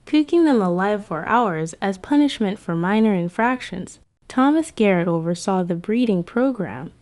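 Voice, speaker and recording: A woman giving scripted history narration in a grave, measured storytelling manner. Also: mid-range voice, warm tone.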